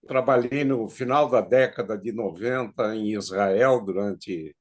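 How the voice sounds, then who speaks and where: A man, a professor on a panel, recalling a memory slowly and reflectively. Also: lowish voice, a little mellow. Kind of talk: recollection on a panel.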